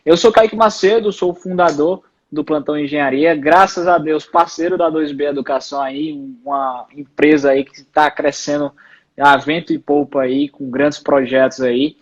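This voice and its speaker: A man's voice: fairly high pitch, normal speed, dull audio. A man in his twenties, an engineer and company founder, chatting casually as a guest on a live stream, dropping lots of fillers. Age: 20s. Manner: relaxed, full of fillers like "né" and "aí". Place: home, live video call.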